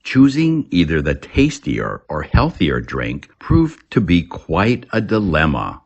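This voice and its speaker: A man reads a scripted English lesson as a teacher, setting words and phrases apart with deliberate enunciation. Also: deep voice, huge pitch swings, breathy edge, dull audio. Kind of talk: scripted vocabulary lesson narration.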